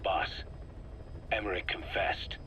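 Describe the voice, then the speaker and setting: An actor playing a stern, gruff military second-in-command, giving a grave and urgent mission briefing to his commander in a video game. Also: deep voice, fairly even intonation, slow, pushed and bright, lo-fi sound, slight background noise, heavily acted. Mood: grim resolve.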